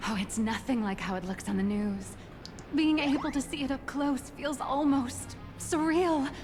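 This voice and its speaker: Woman acting a high-voiced gushing young agent. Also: moderate pace, a little mellow, soft background music, lightly acted. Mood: starstruck gushing. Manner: gushing, breezy, apologetic. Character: gushing, overfamiliar young female corporate agent. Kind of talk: video game voice acting.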